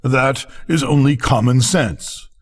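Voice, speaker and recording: A man's voice: medium pitch, slow, slightly rough, voice pushed up. An older man voicing a sensible, practical Bengal light firework in a storybook, exclaiming bluntly. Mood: brisk.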